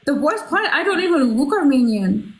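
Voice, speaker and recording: A woman's voice: high-pitched, very animated, normal speed, low-quality recording. A woman in her twenties, raised in Russia, hosting a casual livestream from a small bedroom through her phone, chatty and rambling with lots of fillers and casual swearing. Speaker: livestream host. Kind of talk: casual live chat. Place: small bedroom with colored lights. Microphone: phone front camera.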